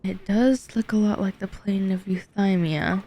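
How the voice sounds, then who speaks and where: A woman's voice: mid-range voice, fairly even intonation, measured pace, mellow. A woman streaming a game, giving casual, reactive commentary in short asides. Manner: reactive, short asides, sometimes reads game text aloud. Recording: game music behind the voice.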